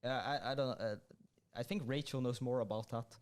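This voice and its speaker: A man's voice: mid-range voice, normal speed. Stammering young male gaming podcast host. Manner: hesitant, stammering, lots of fillers. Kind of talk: casual gaming podcast chat.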